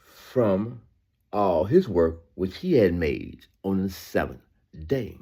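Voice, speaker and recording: A man's voice: low-pitched, sing-song, slow pace, warm tone, husky. A man reading scripture aloud with informal sermon remarks, emphatic and repetitive, with conversational asides that seek agreement. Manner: emphatic, repeats phrases for emphasis. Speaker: Bible reader giving commentary.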